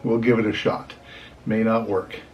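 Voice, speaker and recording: A man's voice: fairly low pitch, flat delivery, normal speed, highs cut off, slight background noise. An older man, a grandfather talking to children in a folksy, rambling, casual storytelling way. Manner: folksy, rambling, often saying "anyway". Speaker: grandfather reading picture books to children.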